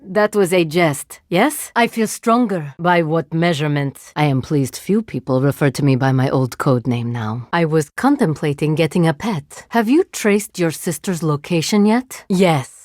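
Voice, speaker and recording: A woman's voice: deep voice, unhurried, few pauses, darkish tone, no echo, clean studio sound. A woman voicing a coolly curious female cyborg agent who speaks in formal, literal, emotionally detached phrases. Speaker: voice actor for a game character. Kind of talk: video game voice lines.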